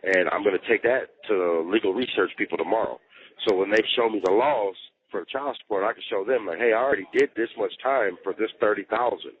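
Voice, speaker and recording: A man's voice: fairly low pitch, brisk. A man talking casually on a jail phone, explaining things repetitively with slang and swearing. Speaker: jail inmate on a phone call. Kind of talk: casual personal phone chat. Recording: tinny jail phone line.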